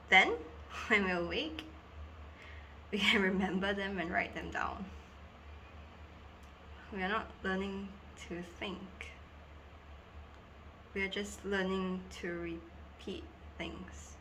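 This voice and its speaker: Woman acting an earnest, haunted schoolgirl, slow delivery. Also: mid-range voice, bright, forceful tone, slight background noise, low-quality recording. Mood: uneasy, realizing. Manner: deliberate, halting, intense phrasing with dramatic pauses. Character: schoolgirl Dina, frightened and earnest, realizing she was hypnotized. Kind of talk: dramatic monologue audition reading.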